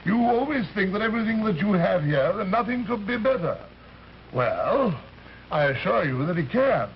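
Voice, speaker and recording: A man's voice: sits high, big pitch swings, normal speed. An actor in an old radio drama playing an urbane alien recruiter, polite and pompous, hesitating and rambling as he talks. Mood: smugly superior. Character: urbane, genteel alien recruiter posing as an Earthman. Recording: muffled, hissy old radio recording.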